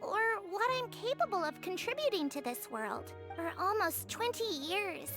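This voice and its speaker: Tiny, very high-pitched earnest creature girl. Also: very animated, normal speed, heavily acted. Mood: wistful. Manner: polite, sincere, hesitant. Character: small earnest, childlike Melusine girl, a gentle non-human creature. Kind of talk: game character dialogue. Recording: music behind the voice.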